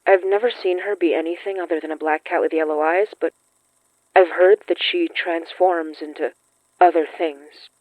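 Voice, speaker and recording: A woman playing a gentle, earnest young ghost summoner in an audio drama, speaking haltingly through a thin, radio-like filter. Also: fairly low pitch, fairly even intonation, no room sound. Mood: awed, earnest. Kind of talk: intimate audio drama acting. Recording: thin, phone-like band-limited sound.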